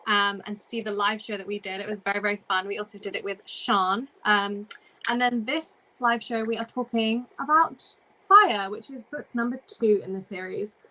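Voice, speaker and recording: Bright-voiced woman chatting casually about books. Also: medium pitch, moderate pace, low-quality recording. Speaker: host of a book discussion live show. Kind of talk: casual book chat on a livestream.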